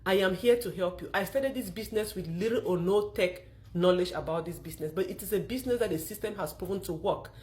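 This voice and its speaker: A middle-aged woman with a Cameroonian accent gives a motivational testimonial pitch, speaking emphatically with repeated phrases. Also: fast talker, a little mellow. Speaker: online marketer telling her own story.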